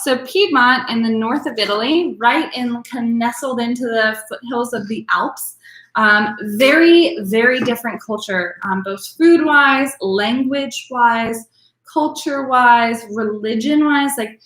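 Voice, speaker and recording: A woman's voice: a little high, a little slow, a bit bright, echoey room, low-quality recording. Chatty woman teaching wine. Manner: chatty, filler-heavy, addresses attendees by name. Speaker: wine class instructor. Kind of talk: casual online class teaching.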